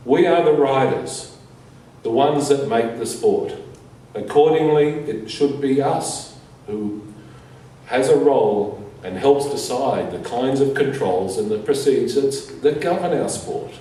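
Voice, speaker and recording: A man with a soft, mellow voice reads a prepared statement aloud at a conference. He goes in measured, deliberate phrases. The room is heavily reverberant and he sounds some distance from the mic, with faint noise behind him. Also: medium pitch. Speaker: conference speaker. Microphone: distant from the speaker.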